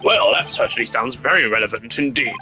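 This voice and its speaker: A man's voice: mid-range voice, fairly quick, pushed and bright, voice pushed down. A man voicing a dignified, puzzled older male judge in a courtroom game, formal and ponderous. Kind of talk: dramatic visual novel courtroom reading. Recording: game music behind the voice.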